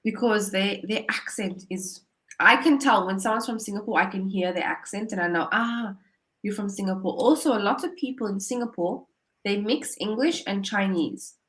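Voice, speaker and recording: A woman's voice: mid-range voice, moderate pace, a bit bright. A woman tutoring English over a video call, upbeat and encouraging, often laughing as she explains. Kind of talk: casual conversation lesson.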